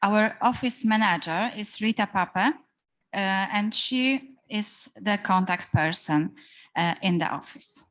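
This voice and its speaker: Woman chair, mid-pitched voice, formal and halting with fillers. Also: fairly bright tone. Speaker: association president chairing meeting.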